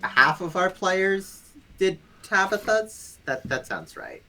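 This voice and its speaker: A man's voice: high voice, lively intonation, slow pace, pushed and bright, slight background noise, low-quality recording. A man speaking as a game developer guest in a casual interview, explaining things in an analytical, detailed way.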